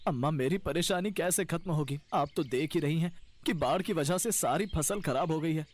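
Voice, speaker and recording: An actor playing a kind-hearted, troubled young farmer husband in a cartoon story, earnest and plaintive. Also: male voice, on the high side, moderate pace, close to an everyday voice. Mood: distressed. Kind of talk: Hindi cartoon story acting.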